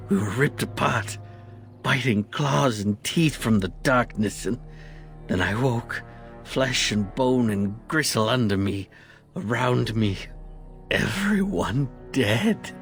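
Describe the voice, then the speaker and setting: An actor playing a dying, traumatized male survivor in a fantasy video game, speaking in broken, agonized, halting phrases. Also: mid-range voice, expressive, faint music behind. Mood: haunted, anguished. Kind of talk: video game character acting.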